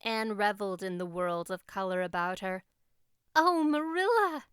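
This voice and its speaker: A woman reading an audiobook as narrator, with measured, expressive storybook delivery. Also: fairly low pitch, clear, resonant tone, no room sound, studio quality.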